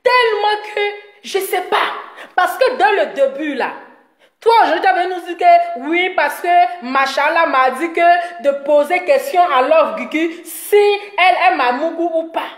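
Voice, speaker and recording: A high-voiced woman delivers a rambling, scolding commentary. She mocks her target and laughs often between jabs. Her voice rings in a roomy, echoing space. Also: expressive, unhurried. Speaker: online commentator. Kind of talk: ranting commentary video.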